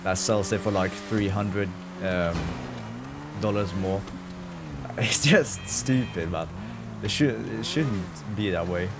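A man's voice: deep voice, slow, gravelly, over music. A man from Norway with a Norwegian accent, chatting casually and swearing freely as he streams a video game. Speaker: live streamer. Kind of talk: casual gaming livestream commentary, chatting with viewers. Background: game ambience with vehicle sounds.

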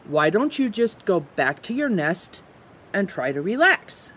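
A sensible storybook bird , read by a woman. The delivery is measured and reassuring, slow and a little husky, like patient reasoning with a friend. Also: mid-range voice, very animated, fairly bright tone, pitched below the performer's own voice, heavily acted. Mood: patient, firm. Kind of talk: children's storybook read-aloud.

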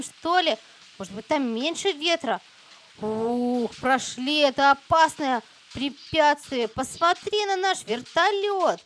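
A woman's voice: high voice, fairly bright tone. A woman hosting a children's game play-along, chatty and exclamatory, talking directly to young viewers. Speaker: host of a children's gaming channel.

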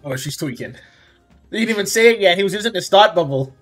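A man joking casually as he takes part in an amateur game dub. His voice is fairly high and husky, very animated, with faint music behind him. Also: fast talker. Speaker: fandub performer.

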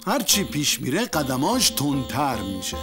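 An actor playing a proud, confident master in a comic cartoon, speaking with emphatic, suspenseful delivery. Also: male voice, mid-range voice, sing-song, lightly acted. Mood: suspenseful bragging. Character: proud, confident master bragging about his servant. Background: music.

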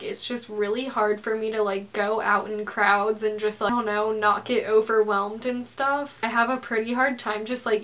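A teenage girl with a slightly high yet flat, monotone voice, speaking candidly to a close camera in her bedroom. Her talk is blunt and heated, full of filler words and the odd swear. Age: teens. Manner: frank, heated, peppered with "like" and swearing. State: tearful. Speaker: YouTuber. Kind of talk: casual confessional vlog. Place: bedroom, indoors.

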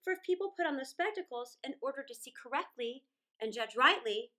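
A middle-aged woman reading a children's story aloud in a theatrical, deliberate way, drawing out words with dramatic pauses. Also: high-pitched, lively intonation, bright, forceful tone. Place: small indoor room against a plain wall.

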